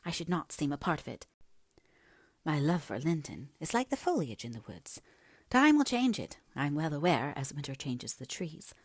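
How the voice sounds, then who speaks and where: Woman playing a passionate, headstrong young gentlewoman. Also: low voice, big pitch swings, normal speed, breathy edge, no echo, clean studio sound, full character voice. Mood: impassioned. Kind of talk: dramatic audiobook character reading.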